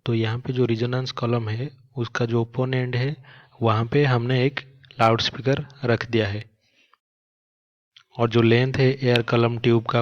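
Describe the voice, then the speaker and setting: A man's voice: medium pitch, muffled recording. A man with an Indian accent speaking as a physics teacher, explaining a problem step by step and mixing in English terms. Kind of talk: step-by-step problem-solving lesson.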